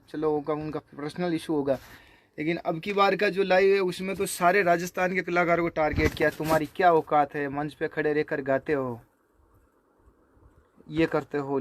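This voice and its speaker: A man speaking informally to viewers, remonstrating in a halting, repetitive way. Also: fairly high pitch. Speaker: singer. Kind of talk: informal spoken video response to viewers.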